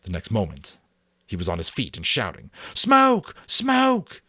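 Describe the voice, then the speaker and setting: A deep-voiced man reads a novel aloud in a dramatic audiobook manner. His low voice rises and falls freely, and his storytelling is expressive. The recording is close and dry. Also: fairly quick, husky, no room sound. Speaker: narrator.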